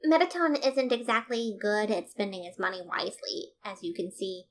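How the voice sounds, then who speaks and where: A woman's voice: expressive, normal speed, lightly acted. A woman voicing a nervous, stammering female dinosaur scientist in a storybook reading. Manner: stuttering, high-strung, flustered.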